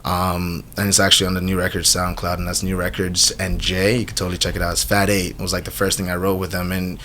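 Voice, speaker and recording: A man's voice: low-pitched, fast, slight background noise. A man in his twenties from Jamaica, answering casually in a radio interview as a rapper, telling stories with lots of slang. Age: 20s. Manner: slangy, animated storytelling with frequent "you know what I'm saying". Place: small radio studio. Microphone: studio microphone.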